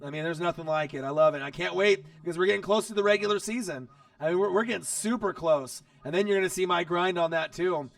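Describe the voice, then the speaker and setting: A man hosting a casual live stream while watching football, chatty and excitable, his voice hoarse from losing it. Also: sits high, fast, dry recording, recorded from a distance. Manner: excitable, chatty, talks straight to viewers.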